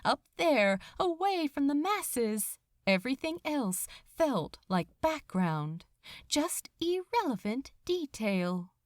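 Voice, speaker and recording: A woman narrating fiction from a script in an expressive, dramatic storytelling manner. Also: high-pitched, slow, dry recording, studio quality. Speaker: podfic narrator.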